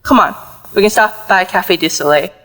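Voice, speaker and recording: A woman's voice: lowish voice, brisk, background noise, natural voice. A woman playing a loving, worried mother of a teenager in a contemporary audio play, speaking earnestly and tenderly. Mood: gently firm.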